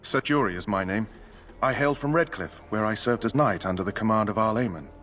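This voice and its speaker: An actor playing an earnest, courteous knight in a fantasy game, speaking formally. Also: male voice, fairly low pitch, brisk, a bit bright, soft background music, close to an everyday voice. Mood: earnest, polite. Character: earnest, courteous knight eager to prove himself.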